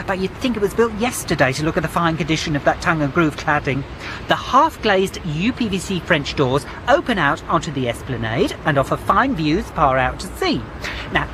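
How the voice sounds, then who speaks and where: An actor playing a smooth-talking, pushy male estate agent with breezy sales patter in a radio drama. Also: a little high, background noise.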